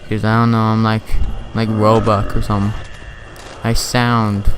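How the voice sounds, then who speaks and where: A man's voice: lowish voice, completely flat delivery, a little slow, noisy background. Rambling teen guy narrating a game. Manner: rambling, chatty, joking asides. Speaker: gaming video host. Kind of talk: casual gameplay commentary. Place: home, recording at night. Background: game sounds and music.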